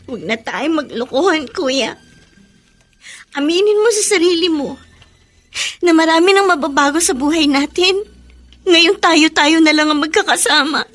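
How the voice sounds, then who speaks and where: A stubborn teen girl in a radio drama, really high sharp voice, anxious, emotional. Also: moderate pace, pauses often. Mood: worried. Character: teenage daughter, stubborn, anxious, determined dreamer.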